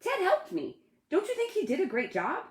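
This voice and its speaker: An earnest little storybook boy with a big imagination, acted by a woman. He sounds wide-eyed and questioning, his pitch lifted high. The sound is roomy. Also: huge pitch swings, moderate pace, exaggerated voice. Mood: proud.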